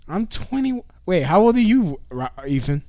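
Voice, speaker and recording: Man in his thirties, slightly higher pitch, casual banter, teasing and crude, repeats words, close dry sound. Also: big pitch swings, moderate pace, dark tone, no room sound. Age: 30s. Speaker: host.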